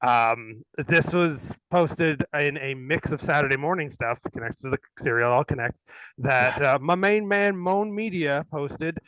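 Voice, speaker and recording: A man's voice: fairly high pitch, measured pace, clear, resonant tone, dry recording, low-quality recording. A man co-hosting a live stream, chatting casually and joking while playing clips. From Canada. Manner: laughing, joking, bursts into shouting. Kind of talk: casual chat.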